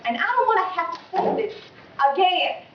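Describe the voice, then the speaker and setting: Girl acting a prim annoyed young lady telling off a neighbor, theatre monologue, really high voice, echoey dull recording. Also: animated, normal speed. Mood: exasperated. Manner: emphatic, formal, carefully enunciated indignation. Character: prim, exasperated young woman scolding her pestering neighbor. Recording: distant mic, echoey room, dull, faint noise.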